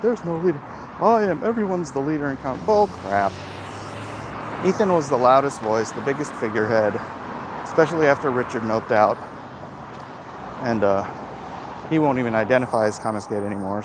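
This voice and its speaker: A man talking casually off the cuff in a rambling, opinionated, halting way, like a livestream host commenting and answering chat. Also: medium pitch. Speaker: host of a livestream vlog, comic creator. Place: outdoors, walking in an urban area. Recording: clear outdoor traffic and street noise.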